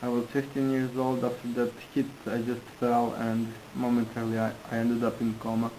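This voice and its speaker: Man, interpreter, halting phrasing, flat monotone, middle pitch. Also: slight background noise, low-quality recording. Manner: halting non-native phrasing with restarts. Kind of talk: consecutive interpreting.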